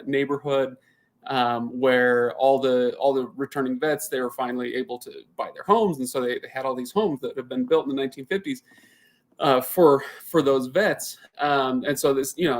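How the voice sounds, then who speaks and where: A man in his thirties hosting a casual live Q&A, chatting and thinking out loud as he answers viewer comments, often restarting his sentences. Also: medium pitch. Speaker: channel host.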